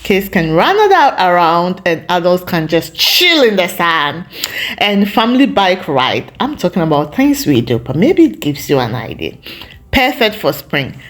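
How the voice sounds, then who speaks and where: A woman hosting a lifestyle vlog, talking casually to viewers in a reflective, encouraging way with short pauses between phrases. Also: on the low side, sing-song.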